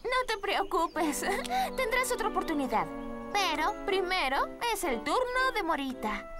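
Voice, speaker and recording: A woman playing a kind, encouraging little girl in a dubbed children's cartoon, speaking gently and reassuringly over music. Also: very high-pitched, big pitch swings, a little slow, exaggerated voice. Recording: music bed behind the voice.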